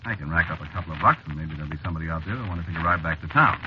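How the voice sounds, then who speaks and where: An actor playing a talkative, uneasy American cab driver with slangy, ungrammatical speech in an old radio drama. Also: male voice, very deep voice, very fast. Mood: wry, chatty. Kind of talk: old-time radio drama acting, first-person narration and dialogue. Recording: muffled, noisy vintage radio recording.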